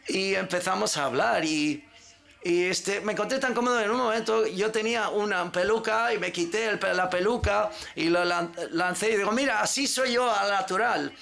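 New York woman, gravelly, storytelling. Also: mid-range voice, moderate pace. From United States. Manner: lively storytelling with frequent restarts. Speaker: actress. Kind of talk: press conference answer.

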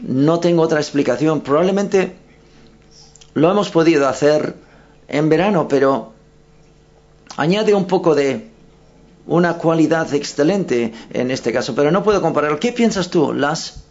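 Halting male press-conference interpreter. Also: fairly high pitch, expressive, darkish tone. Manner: hesitant, with frequent self-corrections. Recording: dull lo-fi sound.

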